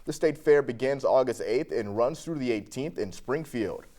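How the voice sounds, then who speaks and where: A man's voice: mid-range voice, expressive, normal speed, mellow. An American man reading scripted local news as an anchor.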